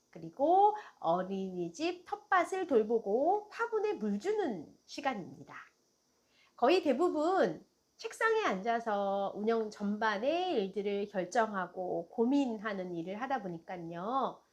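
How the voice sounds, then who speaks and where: Middle-aged female host, daycare director giving parents soft advice; a bit high, slow and melodic. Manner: slow, gentle, sing-song delivery. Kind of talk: warm advice talk to parents.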